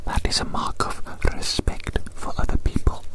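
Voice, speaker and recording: A man whispering a breathy ASMR roleplay monologue in a rambling, repetitive, whimsically fussy way. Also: normal speed.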